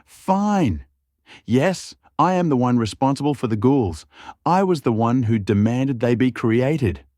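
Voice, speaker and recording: A man's voice: mid-range voice, lively intonation, unhurried, dark tone, dry recording, studio quality, voice pushed up, lightly acted. A man voicing a bitter, mocking porcelain guardsman, a murderous villain with an aggrieved, taunting way of talking in an audiobook story. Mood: defiant, threatening.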